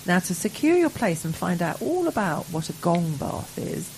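A woman's voice: lowish voice, big pitch swings, moderate pace, gravelly, darkish tone, a little hiss or hum, rough recording. A woman co-hosting a business radio show from a remote link, chatting and reading out event listings with playful asides. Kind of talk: casual radio chat.

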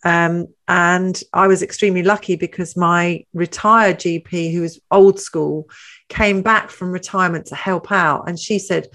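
A woman's voice: fairly low pitch, steady tone, measured pace, a bit bright. A woman hosting a panel discussion. She talks in a chatty way and often asks leading questions. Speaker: host.

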